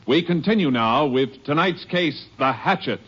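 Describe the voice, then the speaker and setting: A man's voice: on the high side, animated, normal speed, breathy edge. A man announcing a 1950s radio drama in formal, scripted style. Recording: muffled old radio recording under loud music.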